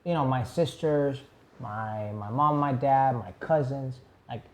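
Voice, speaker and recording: A man's voice: mid-range voice, measured pace. A young man from Puerto Rico, a guest on a podcast, chatting casually and rambling with many fillers and restarts. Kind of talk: casual chat.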